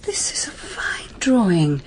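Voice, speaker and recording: An actress playing a genteel middle-aged Victorian mother in an audio drama, with a measured, admonishing manner. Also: mid-range voice, huge pitch swings, darkish tone, in a whisper, low-quality recording. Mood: wry, appraising. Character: brisk, genteel Victorian mother, practical and gently sceptical. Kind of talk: period audio drama acting.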